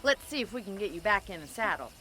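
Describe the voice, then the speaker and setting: Young frontier rancher, American accent, dry, teasing, plain-spoken, animated delivery, bright tone, western game. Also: female voice, medium pitch, quick pace, slight background noise. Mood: brisk, spirited. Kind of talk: cinematic western game acting.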